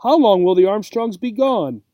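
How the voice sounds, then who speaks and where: A man's voice: sits high, expressive, moderate pace, dry recording, highs cut off, voice pushed up. A man voicing a timid, anxious little female pet gerbil in a storybook, nervous and questioning. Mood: anxious, aghast.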